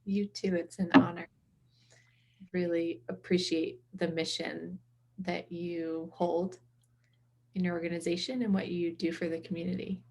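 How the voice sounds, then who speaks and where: Female interview guest from the East Coast, reflective and casual, lowish pitch, plenty of vocal fry. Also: flat delivery, unhurried. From from the US East Coast, around Boston.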